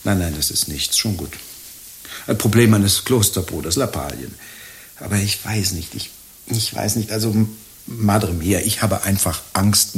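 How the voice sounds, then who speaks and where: An actor playing an anxious, lovestruck ageing friar in a radio drama, hesitant, stammering and plaintive. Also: male voice, deep voice, a little hiss or hum.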